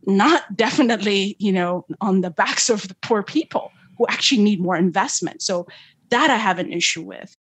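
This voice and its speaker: A woman's voice: mid-range voice, lively intonation, moderate pace, slightly rough. A middle-aged woman from Ethiopia speaking as an interview guest, earnest and emphatic, often checking in with "right?" and "you know". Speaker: guest, development finance expert. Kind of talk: interview conversation.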